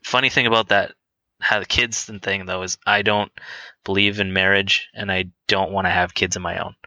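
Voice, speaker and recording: A man in his late twenties talking candidly and casually as a guest, hesitant and halting, feeling his way through his answers. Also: deep voice, little pitch movement, pushed and bright, no echo, dull audio. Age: twenty-nine. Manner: hesitant, halting, self-conscious. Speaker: interview guest on a podcast.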